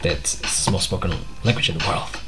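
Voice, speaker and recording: A man's voice: low voice, steady tone, normal speed, heavy background noise. Hesitant man. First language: Portuguese. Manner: hesitant, many fillers and restarts. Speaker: podcast host. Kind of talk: rambling solo vlog. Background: faint music.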